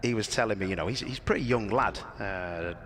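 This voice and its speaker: Lowish-voiced man from near Liverpool with a Liverpool accent; chatty, self-deprecating motorsport presenter. Faint background noise. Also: brisk, fairly bright tone. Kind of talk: casual live commentary chat.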